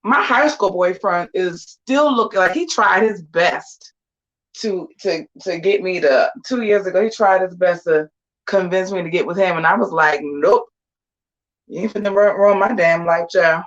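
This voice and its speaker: A woman's voice: on the low side, moderate pace, dull audio. A woman in her forties chatting casually to her viewers while getting ready, sassy and full of slangy asides. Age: 40s. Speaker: vlogger. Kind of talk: casual get-ready-with-me chat.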